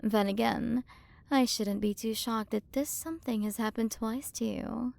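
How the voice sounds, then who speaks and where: A woman's voice: a little high, fairly even intonation, darkish tone. A woman playing a haughty, possessive alien galaxy queen in an ASMR roleplay, condescending and flirtatious as she toys with the listener. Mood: gloating, amused. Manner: condescending, flirtatious, drawn-out delivery with breathy drops into whispers. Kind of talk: ASMR roleplay, scripted character acting.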